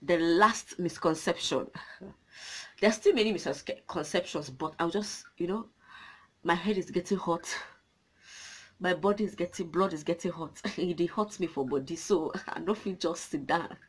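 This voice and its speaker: Husky, fired-up Nigerian woman ranting. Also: fairly low pitch, unhurried. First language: Nigerian language. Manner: passionate, animated, gesturing. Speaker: vlogger. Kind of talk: ranting to camera. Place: small indoor room with a draped cloth backdrop.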